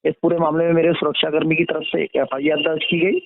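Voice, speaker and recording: A man giving a formal, somewhat halting interview account over a phone line. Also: a little high, level tone, creaky, dry recording. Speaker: interview guest, activist. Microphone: phone call. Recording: muffled band-limited phone line.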